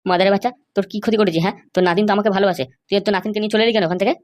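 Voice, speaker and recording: Woman voicing a brash, rapid-talking young suitor. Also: medium pitch, steady tone, darkish tone, close to an everyday voice. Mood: brash, taunting. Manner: blustering, pleading. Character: brash young male suitor, wheedling when cornered. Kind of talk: amateur comedy cartoon dub.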